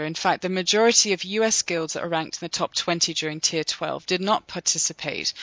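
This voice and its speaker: A podcast host reading a scripted recap in a steady, measured way, then introducing guests. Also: medium pitch, no room sound, muffled recording.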